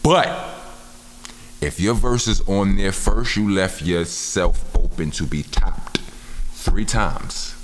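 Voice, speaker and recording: A man's voice: slow, in a large hall, slight background noise, rough recording. A man, a rapper telling stories in a casual studio interview. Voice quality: deep voice. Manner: laid-back, storytelling, repeats "you understand what I'm saying". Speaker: rapper, guest. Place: recording studio.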